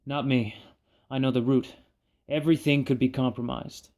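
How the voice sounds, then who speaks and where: A commanding male heist leader acted by a man in a fan audio drama. His voice sits at a middle pitch as he directs his crew. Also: steady tone, normal speed, a little mellow, close to an everyday voice.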